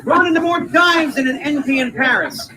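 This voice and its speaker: A man's voice: very high-pitched, pushed and bright. A man playing Fibber McGee, a blustering, wisecracking, folksy husband in an old-time radio comedy. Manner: folksy, fast-talking, exclamatory, theatrical.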